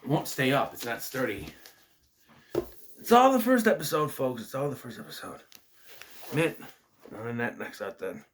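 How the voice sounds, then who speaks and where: A man's voice: normal speed, pauses often, a little hiss or hum. Chatty man with a head cold. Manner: chatty, self-interrupting, lively pitch swings. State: getting over a cold, slightly nasal, clearing throat. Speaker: host of a wrestling review channel. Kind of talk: casual rambling vlog intro. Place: his room at home. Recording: echoey room.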